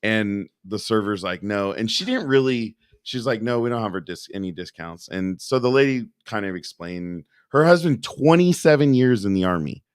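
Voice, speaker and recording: Animated storytelling man in his forties. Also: lowish voice, normal speed, few pauses, clean tone, no echo, clean studio sound. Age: 40s. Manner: animated storyteller, acts out quoted voices. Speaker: podcast co-host. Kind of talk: casual podcast chat.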